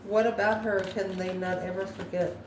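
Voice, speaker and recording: A woman's voice: medium pitch, flat delivery, normal speed. A woman doing an improvised tarot card reading, musing aloud and answering her own questions. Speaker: tarot reader, channel host. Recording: echoey room, faint background noise.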